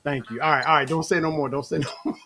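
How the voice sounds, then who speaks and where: A man with a fairly high voice hosts a relaxed group chat, joking and laughing easily. He trips over his words and restarts his sentences as he steers the talk around the room. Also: fast, a crowd in the background. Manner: playful, laughing, restarts often. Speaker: host. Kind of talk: casual panel chat.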